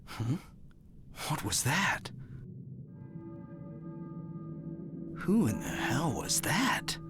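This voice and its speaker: An actor playing a bewildered everyman father in a video game, asking questions in a halting, insistent way. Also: fairly high pitch, sing-song, over music. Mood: confused. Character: bewildered, urgent everyman father searching for girl. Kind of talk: video game cutscene acting.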